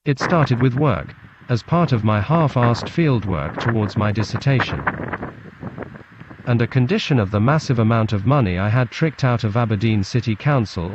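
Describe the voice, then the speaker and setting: A man with a Scottish accent reads a literary story laced with Scots words in a deadpan, clipped manner. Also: mid-range voice. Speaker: narrator, storyteller. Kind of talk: literary story reading in Scots-flecked English. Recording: muffled lo-fi recording with background noise.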